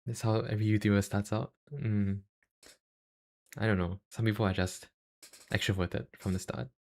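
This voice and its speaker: A young man with a Singaporean accent chatting casually on a livestream, halting and self-deprecating, speaking into a cheap USB microphone. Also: fairly low pitch, steady tone, darkish tone. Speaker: YouTuber and live streamer.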